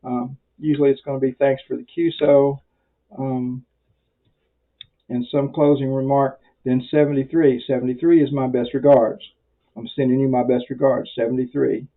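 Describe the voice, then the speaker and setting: Muffled man, folksy and halting. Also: mid-range voice, flat delivery. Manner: folksy, rambling, halting with many pauses. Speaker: amateur radio instructor. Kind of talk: informal tutorial for beginners. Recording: very muffled, dull lo-fi audio.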